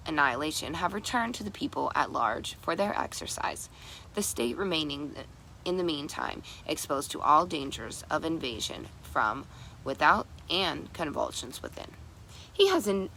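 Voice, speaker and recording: A woman reading a historical document aloud, haltingly, sometimes stumbling over long words and repeating them. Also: deep voice, vocal fry, bright, forceful tone, a little hiss or hum, poor audio. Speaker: reader.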